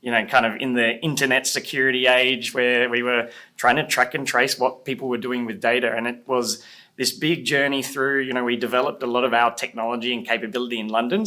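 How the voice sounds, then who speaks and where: Bright-voiced Australian man. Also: medium pitch. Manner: dry, self-deprecating humor with halting fillers. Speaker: podcast guest. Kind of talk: casual interview chat.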